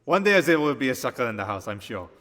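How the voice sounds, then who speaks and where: A man's voice: mid-range voice, very animated, quick pace, fairly bright tone. A man playing a showy, wry fantasy auctioneer with grandiose sales patter in an audio drama, recorded with long echoing reverb. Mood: wry salesmanship.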